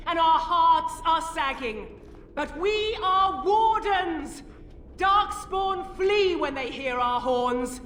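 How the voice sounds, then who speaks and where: A defiant warrior commander in a fantasy game, high-pitched and declamatory. She speaks slowly and resolutely, from a distance in an echoing hall. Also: fairly bright tone, exaggerated voice. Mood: grave, firm. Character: defiant female warrior commander rallying besieged troops. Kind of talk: fantasy video game oratory. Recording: echoey hall, distant, faint noise.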